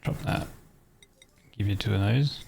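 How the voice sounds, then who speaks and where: Man streamer, low gravelly voice, casual game talk, half-sung murmurs, faint game sounds behind. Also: a little slow, a little mellow, slight background noise. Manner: mutters to himself while playing, half-sung filler sounds. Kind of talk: casual gameplay commentary.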